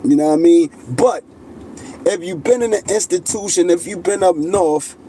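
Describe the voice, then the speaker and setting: A man's voice: sits high, moderate pace, dark tone, slight background noise. A middle-aged man, talking in a casual monologue full of street slang. He speaks insistently and keeps checking in with "you know what I mean". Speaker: channel host.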